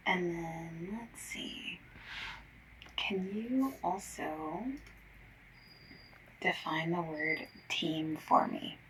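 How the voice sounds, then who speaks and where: Female ASMR survey caller: whispery, polite, encouraging, lowish pitch with very wide swings, lo-fi distant mic with typing. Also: wildly swooping pitch. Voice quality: breathy, often whispered. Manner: gentle, polite, encouraging, unhurried questioning. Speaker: ASMR roleplayer playing a phone survey caller. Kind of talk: ASMR, soft speaking and whispering. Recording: lo-fi, noisy, slightly distant mic. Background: mechanical keyboard typing.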